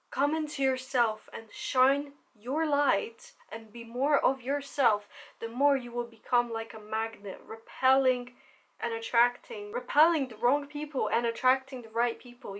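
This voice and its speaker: A woman's voice: fairly high pitch, steady tone, moderate pace, fairly bright tone. A young woman talking candidly to camera as a content creator, swearing casually and laughing often.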